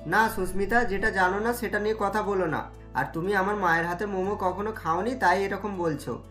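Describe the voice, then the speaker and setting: A woman's voice: mid-range voice, brisk, a bit bright, voice pushed down, natural voice. A woman voicing a young married man in a cartoon, a doting but easily indignant husband who talks emphatically. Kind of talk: cartoon story dialogue. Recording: music under the voice.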